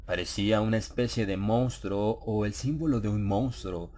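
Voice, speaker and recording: A man reading a horror story as an audiobook narrator, in a measured, solemn, dramatized literary delivery. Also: lowish voice, warm tone.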